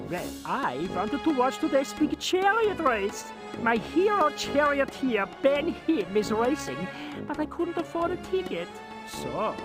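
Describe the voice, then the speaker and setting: A man playing a nervous, excitable cowardly inventor in a cartoon game. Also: very high voice, exaggerated voice. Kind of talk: cartoon video game acting.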